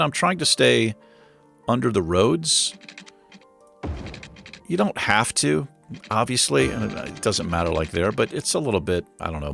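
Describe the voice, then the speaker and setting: A man casually commenting on his city-building game while he plays, thinking aloud with lots of fillers, his voice going hoarse. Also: lowish voice, a bit bright. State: losing his voice. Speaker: gaming channel host.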